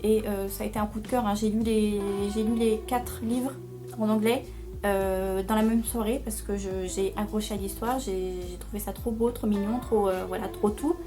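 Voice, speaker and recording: A young woman talking casually about books, pausing often and filling gaps with hesitant sounds. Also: mid-range voice, fairly even intonation, music in the background. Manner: hesitant, many fillers. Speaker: book vlogger. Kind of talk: casual book chat.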